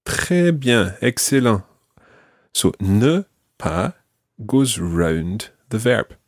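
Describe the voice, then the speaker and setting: A man hosting a podcast language lesson, explaining patiently and clearly. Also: on the low side, sing-song, extremely slow, darkish tone, no room sound, studio quality. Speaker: language teacher and podcast host.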